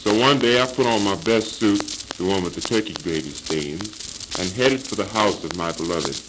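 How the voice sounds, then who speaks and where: A hapless, henpecked husband played by a man lectures with mock-earnest theatrical delivery in an old-time comic monologue. The voice is fairly low, under the clear crackle and hiss of a 1930s 78 rpm record. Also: normal speed, close to an everyday voice. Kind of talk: early 20th century comic monologue.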